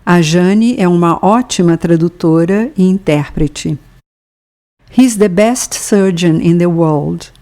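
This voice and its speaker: A woman with a Brazilian accent leads a scripted language lesson. She reads each phrase very slowly and deliberately, leaving room for the listener to repeat it. Her voice is mellow and sits a little low. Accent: Brazilian Portuguese. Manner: slow, careful bilingual reading with pauses for repetition. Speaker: language teacher.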